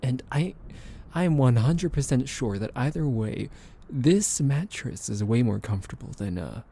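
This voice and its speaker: A young man in a soft ASMR roleplay, gently soothing and teasing the listener with soft laughs, hesitant fillers and the odd whispered aside. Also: mid-range voice, animated, normal speed, gravelly, breathy edge.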